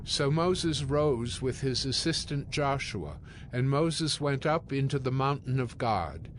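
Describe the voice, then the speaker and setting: A man narrating scripture from a script in a solemn, measured storytelling manner. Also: medium pitch, expressive, slight background noise. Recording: prominent music bed behind narration.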